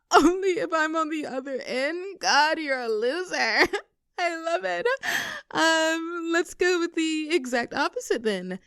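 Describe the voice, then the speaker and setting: A woman's voice: very high voice, lively intonation, takes it slowly, a bit bright, no echo, studio quality, exaggerated voice. A woman playing an affectionate, teasing young girlfriend in an intimate roleplay audio, coaxing and giggly in a conversational way. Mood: teasing, amused. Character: playful, clingy, affectionate young girlfriend teasing her partner.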